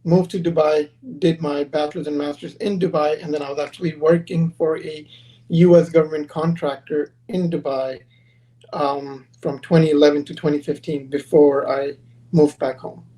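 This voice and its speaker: A man's voice: fairly high pitch, flat delivery, normal speed, warm tone, poor audio. A man in his thirties, born in Pakistan and raised in Los Angeles, answering interview questions over a video call in a casual, friendly storytelling way. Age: 30s. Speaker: guest, perfume brand founder.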